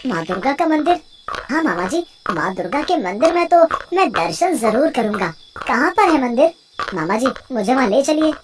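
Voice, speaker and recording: An actor plays a devout young villager in a cartoon fable. The voice is high and lively in pitch, earnest and respectful, the sound of an obedient, helpful lad. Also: moderate pace, slight background noise. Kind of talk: animated Hindi moral story acting.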